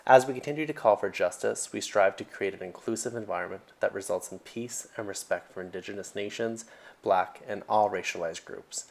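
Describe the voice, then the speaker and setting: A young man from Nova Scotia, a social work student giving a prepared presentation in an earnest, measured way with occasional fillers. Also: fairly low pitch, vocal fry. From Nova Scotia, Canada.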